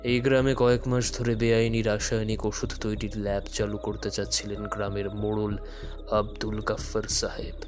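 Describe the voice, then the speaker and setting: An actor playing a calm, enigmatic detective in an audio thriller, speaking in a measured, deliberate, brooding way. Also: male voice, lowish voice, lightly acted. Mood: grave, explaining. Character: calm, enigmatic male detective who works in the shadows. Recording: dramatic music under the voice.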